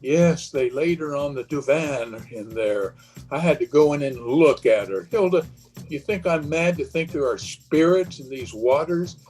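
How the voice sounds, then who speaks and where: An actor playing a haunted, superstitious, fearful husband in the dramatic, halting style of a 1930s radio horror play. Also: male voice, a little high, a little mellow. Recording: husky, muffled voice recorded over video call.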